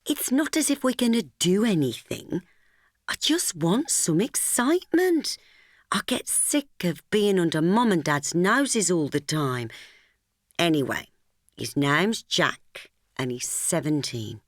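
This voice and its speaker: A woman voicing a lively, restless teenage girl, teasing and coaxing. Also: lowish voice, slow pace, dry recording, clean studio sound, pitched above the performer's own voice. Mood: eager. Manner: teasing, coaxing, whispery at times. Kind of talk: audiobook dialogue.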